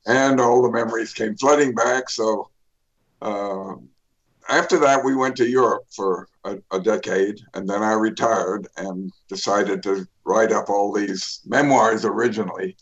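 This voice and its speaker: An older man, an author, telling his life story in a radio interview, pausing often with fillers and restarts. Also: fairly low pitch, highs cut off. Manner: rambling, many fillers and restarts. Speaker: author guest.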